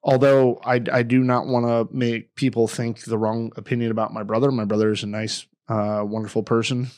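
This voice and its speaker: A man's voice: mid-range voice, flat delivery, fairly quick, darkish tone. A young man co-hosting a podcast, talking emotionally and rambling, swearing constantly and restarting his sentences. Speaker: podcast co-host. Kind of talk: casual podcast chat.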